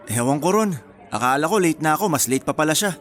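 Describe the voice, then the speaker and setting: A man's voice: fairly high pitch, lively intonation, fast talker, a bit bright. A man with a Filipino accent playing an irritable, reluctant young groom in a radio drama, casual and blunt. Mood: casual, indifferent.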